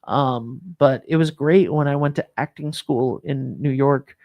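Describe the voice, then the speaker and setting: Rambling talk-show host, easygoing. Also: male voice, mid-range voice, unhurried, gravelly, muffled recording. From Rhode Island. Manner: rambling, many fillers and restarts. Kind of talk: casual chat.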